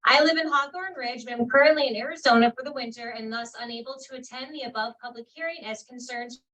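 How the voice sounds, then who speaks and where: A woman on a village council reading residents' letters into the record, in a loud, clear voice at a public meeting. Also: fairly high pitch, fast talker. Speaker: council member. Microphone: distant from the microphone. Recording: speaker far from the mic.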